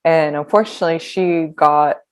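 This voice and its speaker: Low-voiced young woman, thoughtful guest. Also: normal speed, clean tone. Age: 20s. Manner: reflective, hesitant with frequent ums. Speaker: podcast guest. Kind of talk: conversational interview.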